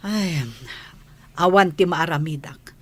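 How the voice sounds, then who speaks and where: A woman's voice: lowish voice, slow pace, slightly rough. A woman acting a stern, unyielding office boss in a radio comedy drama.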